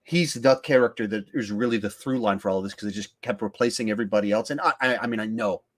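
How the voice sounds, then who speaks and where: A man's voice: lowish voice, fast. Man chatting casually, rambling as he thinks. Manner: rambling, thinking aloud with stammers and repeated words. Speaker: podcast host. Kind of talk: casual film discussion.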